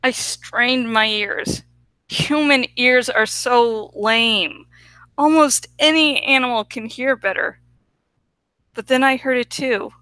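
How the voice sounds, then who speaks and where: Bright, close-up woman reading a story aloud. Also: a little high, slow pace, smooth, dry recording, low-quality recording. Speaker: audiobook reader. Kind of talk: expressive first-person story narration.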